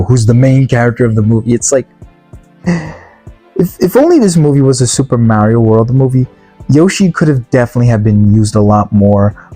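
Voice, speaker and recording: Rambling man with a mellow, tired voice. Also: medium pitch. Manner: rambling, heavy on fillers and restarts. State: tired, worn-out voice. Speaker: video reviewer. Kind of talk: casual spoken movie review.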